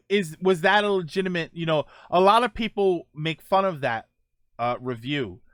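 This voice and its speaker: Male streamer, halting talk, dry close sound. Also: on the high side, dry recording. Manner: halting, circles back and rephrases points. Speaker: host of a gaming livestream. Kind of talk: casual opinion talk answering viewer comments.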